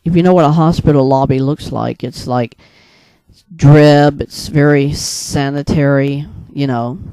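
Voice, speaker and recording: A man with a mellow, smooth voice, pitched a little high, talks casually without a script. He rambles through his storytelling, hesitating and restarting as he goes. The sound is close and dry. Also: fairly even intonation, dry recording. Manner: rambling, hesitant, halting mid-thought. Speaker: video creator and storyteller. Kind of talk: casual unscripted monologue recounting a dream.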